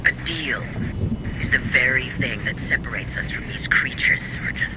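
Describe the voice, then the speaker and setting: A man playing a calculating, self-serving corporate director in a video game, with formal, measured, insistent speech. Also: mid-range voice, noisy background, natural voice. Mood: hard bargaining. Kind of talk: video game cutscene acting.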